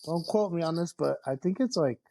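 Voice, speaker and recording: A man's voice: a little high, brisk, creaky. A man from Mexico, a podcast guest, talking casually with many fillers and curse words. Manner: relaxed, swearing, lots of fillers. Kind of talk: casual chat.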